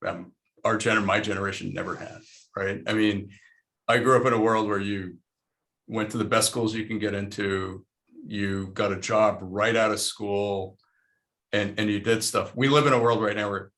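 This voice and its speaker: A man's voice: lowish voice, fairly even intonation, moderate pace, a bit bright. A middle-aged man from Lebanon, a panel guest and investor, talking frankly in a casual, rambling way with many restarts.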